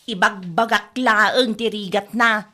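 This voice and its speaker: A woman's voice: medium pitch, fairly even intonation, slow, sharp tone. A woman with an Ilocano accent playing a dramatic, complaining wife and new mother in a comedy radio drama.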